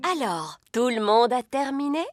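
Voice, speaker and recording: A woman voicing a prim, kindly, expressive gazelle schoolteacher in a children's cartoon dub. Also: fairly high pitch, normal speed, loud music behind the voice, natural voice. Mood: encouraging.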